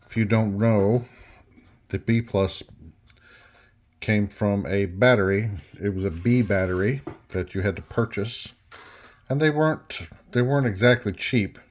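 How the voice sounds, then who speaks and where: A man giving an unscripted workbench walkthrough as a hobbyist restorer, halting and thinking aloud as he goes. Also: on the low side, darkish tone. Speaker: hobbyist restorer presenting a repair.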